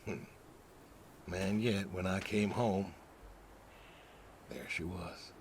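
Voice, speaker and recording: An actor playing a weary, wistful older American pig farmer and war veteran in a video game, talking in a slow, halting, reflective way. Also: male voice, low voice, steady tone, slight background noise, exaggerated voice. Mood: wry, bitter. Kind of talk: understated naturalistic game acting.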